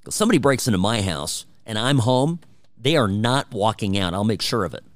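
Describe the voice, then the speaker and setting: A man's voice: fairly low pitch, expressive, a little fast, dry recording, clean studio sound. A man hosting a talk radio show, delivering an opinionated, emphatic and conversational monologue. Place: radio studio.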